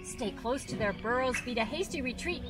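A woman's voice: a little high, animated, moderate pace, loud music behind the voice. An older woman, a zoo guide, reads a rhyming picture book aloud outdoors by a pond in a sing-song storytime manner.

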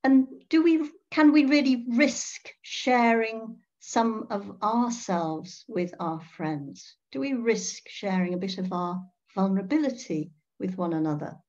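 An older woman giving a reflective sermon in a measured way, pausing often and posing questions to her listeners. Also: mid-range voice, darkish tone. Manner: measured, with pauses and rhetorical questions. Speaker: priest preaching. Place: indoor room at home. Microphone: computer mic on a video call. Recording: dull, muffled video-call audio.

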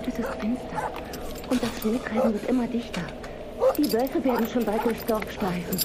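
An actress as a high-voiced, pleading young villager. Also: female voice, moderate pace, heavy background noise, close to an everyday voice. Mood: anxious pleading. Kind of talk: German audio drama acting.

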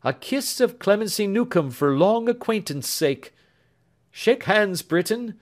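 A man's voice: high voice, expressive, unhurried, voice pushed up. A man voicing an earnest young suitor. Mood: fervent.

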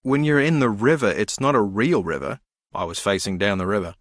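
A man's voice: fairly low pitch, expressive, brisk, no echo, clean studio sound, close to an everyday voice. An actor playing a dreamy, easily confused young man in a video game, earnest, naive and meandering as he muses.

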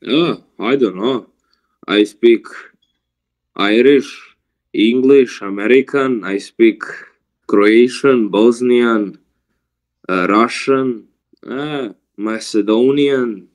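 Ein junger Mann, der gemütlich und zögernd in einem Videoanruf erzählt. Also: male voice, medium pitch, takes it slowly. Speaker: video chat stranger. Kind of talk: casual chat.

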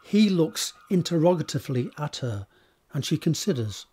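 A man's voice: a little high, sing-song, moderate pace, mellow, breathy edge. A man reading scripted stage directions as the narrator of an audio drama.

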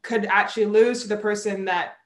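A woman's voice: medium pitch, fairly even intonation, a little fast. A young woman from Barbados, a sailor, chatting as a guest in a remote video interview, explaining with gestures and laughing often. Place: indoor room at home. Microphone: video call. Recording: roomy video call audio.

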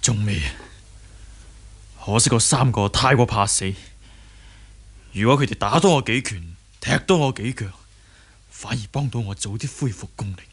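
An earnest young wuxia hero, wounded but steadfast. His Hong Kong Cantonese voice is very husky and breathy, in the manner of radio drama. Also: mid-range voice, normal speed, pauses often. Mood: calm, concerned. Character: earnest injured young martial arts hero. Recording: early 1980s radio drama recording.

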